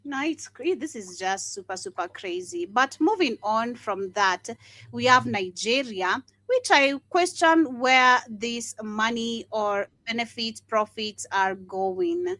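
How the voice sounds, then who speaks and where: Scornful high-voiced woman host. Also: expressive, slow, bright, forceful tone, no echo. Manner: scornful, laughing, emphatic. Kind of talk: casual commentary.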